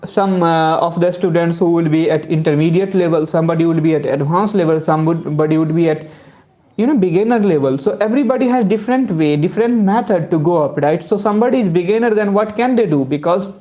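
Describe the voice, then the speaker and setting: Indian English accent, Hindi native speaker, English teacher, soft mellow tone, loose rambling lesson talk. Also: medium pitch, fast talker. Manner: rambling, tags lines with "right?" and "you know". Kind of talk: unscripted lesson talk to viewers.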